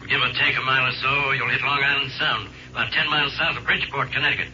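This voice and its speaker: An American man playing a brisk, grave army general in a 1950s radio drama, businesslike and urgent. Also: medium pitch, fairly even intonation, bright, forceful tone. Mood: grave, matter-of-fact. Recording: dull, noisy 1950s radio recording.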